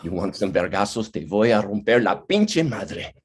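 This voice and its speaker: A man's voice: on the low side, sing-song, moderate pace, a crowd in the background. A man playing a feisty, defiant small shopkeeper who curses, in a dramatic script read over a video call. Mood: angry, defiant. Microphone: home microphone on a video call.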